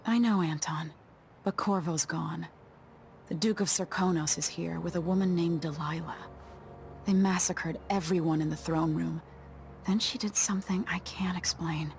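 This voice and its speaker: An actress playing a resolute young deposed empress in a video game, serious and controlled. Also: female voice, lowish voice, fairly even intonation, moderate pace, darkish tone, slight background noise, lightly acted. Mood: determined, urgent.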